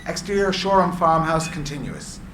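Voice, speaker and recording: A man's voice: a little high, brisk, fairly bright tone. A man reading screenplay stage directions aloud as dramatic narration at a live reading. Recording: echoey room, clear background noise.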